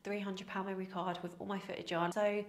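A woman's voice: medium pitch, level tone, fast, a little hiss or hum. Chatty woman with a stuffy nose. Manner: chatty, self-mocking, laughs often. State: nasal from a head cold. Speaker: fitness vlogger. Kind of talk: casual to-camera Q&A. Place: small indoor room with plants. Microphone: lapel mic.

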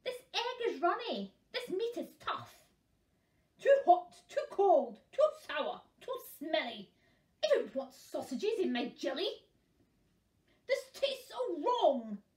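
A woman's voice: very high-pitched, very animated, slow, darkish tone, echoey room, voice pushed up, exaggerated voice. A woman voicing a hungry, fussy, bossy king in a children's story, with an emphatic, commanding delivery. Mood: fussy, displeased.

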